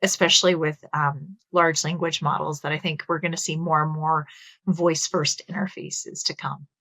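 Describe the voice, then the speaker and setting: A woman with a Texas accent speaks in a somewhat low voice, explaining her views at a measured, thoughtful pace with the odd "um" and "you know". The sound is dry and close, with no room around it. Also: fairly even intonation, pushed and bright, no echo. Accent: Texan. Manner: thoughtful, explanatory, with filler words. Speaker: guest. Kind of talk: conversational interview.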